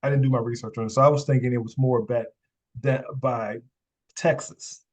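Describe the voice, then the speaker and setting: A man's voice: medium pitch, fairly even intonation, normal speed, a little mellow. A man hosting an interview podcast, upbeat in a scripted intro, then asking chatty questions with repeated words. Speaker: podcast host.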